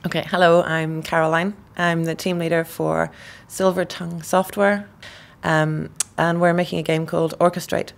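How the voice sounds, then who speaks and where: A woman's voice: lowish voice, little pitch movement, moderate pace, vocal fry. A woman team leader giving casual, upbeat interview answers.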